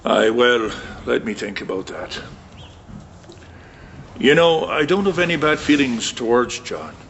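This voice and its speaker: A bitter, guarded Scottish man in a naturalistic radio drama. His voice sits at an ordinary male pitch. The sound is slightly dull, with some noise behind him. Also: very animated, unhurried, a bit bright. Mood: wary. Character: bitter, wary man discussing his violent war-damaged cousin. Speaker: actor. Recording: muffled, with clear background noise.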